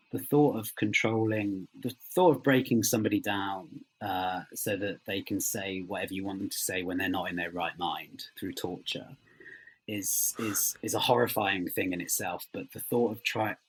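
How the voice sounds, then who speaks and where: A man being interviewed as a writer, speaking reflectively and haltingly as he searches for words. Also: fairly low pitch, breathy edge, rough recording.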